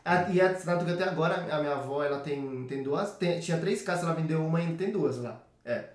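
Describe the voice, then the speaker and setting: A young man from Venezuela, a Spanish speaker, chatting casually as a guest and filling his talk with quick fillers. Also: a little high, reverberant, distant mic. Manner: fast, chatty, full of fillers like "tipo" and "entendeu". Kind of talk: casual chat.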